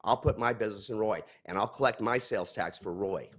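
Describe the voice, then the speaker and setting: A man's voice: fairly low pitch, a little fast. A man in his forties giving a heated, accusing public comment at a podium mic in a large council chamber.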